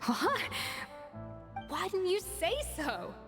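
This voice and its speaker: A woman's voice: very high voice, sing-song, slow, in a large hall, music in the background, exaggerated voice. A woman playing a proud, exacting master chef in a game, formal and assured. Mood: surprised, probing.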